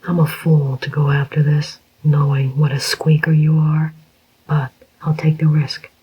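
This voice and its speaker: A reader whispering the part of a rough, suspicious male burglar in a slow, flat voice. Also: on the high side. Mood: threatening. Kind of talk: whispered audiobook reading. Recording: dull lo-fi recording.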